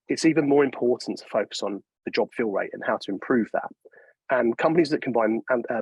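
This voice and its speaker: A man's voice: medium pitch, breathy edge, dry recording, low-quality recording. A man giving a webinar presentation in an informal, explanatory manner. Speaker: software company presenter.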